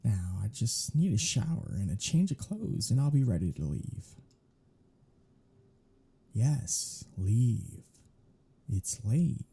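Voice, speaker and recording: Man playing a husky, dark-voiced sardonic vampire. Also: on the low side, sing-song, slow, long pauses, full character voice. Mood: teasing, sarcastic. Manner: sarcastic, drawling, mocking. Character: sardonic, teasing male vampire, grumbling and irreverent. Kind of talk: intimate ASMR roleplay audio drama.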